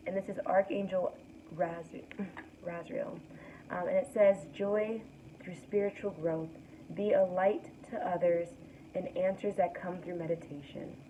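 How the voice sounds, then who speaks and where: A young woman with a raspy morning voice, giving a relaxed, soothing tarot card reading to camera as the host. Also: lowish voice. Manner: relaxed, soothing, guides breathing. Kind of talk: casual spiritual reading to camera. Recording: tinny distant mic with faint noise.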